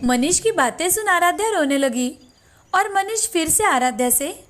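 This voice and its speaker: High-pitched woman narrating a children's story. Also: animated, normal speed, fairly bright tone. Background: faint music.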